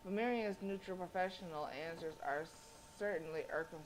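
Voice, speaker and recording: A woman's voice: lowish voice, normal speed, slight background noise, poor audio. A woman streaming a game, with sassy, slangy casual commentary and reading aloud.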